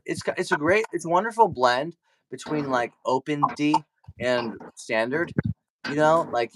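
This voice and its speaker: A man's voice: mid-range voice, noisy background. A man hosting a casual livestream, rambling informally and correcting himself as he talks. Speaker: livestream host and singer-guitarist.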